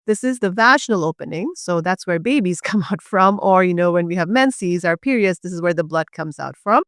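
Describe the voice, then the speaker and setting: A woman's voice: fairly low pitch, lively intonation, brisk, fairly bright tone, dry recording, clean studio sound. A woman from Bangladesh, a urogynecologist guest, explaining pelvic health in an earnest, passionate conversational way with many fillers.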